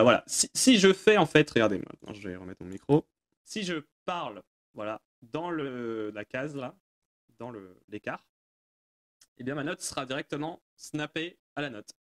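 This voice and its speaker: A man presenting an informal, off-the-cuff software tutorial, hesitant and self-deprecating as he explains things. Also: mid-range voice, lively intonation, normal speed. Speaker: tutorial presenter answering viewer questions.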